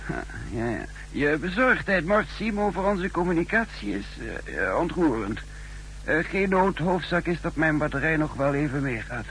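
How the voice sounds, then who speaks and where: A man playing a nervous, hesitant double agent in a 1960s radio drama, speaking formally with stammering pauses. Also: a little high, big pitch swings, fairly bright tone, a little hiss or hum, rough recording. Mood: hesitant, evasive. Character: nervous, hesitant male double agent posing as a spy chief. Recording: humming old 1960s radio recording.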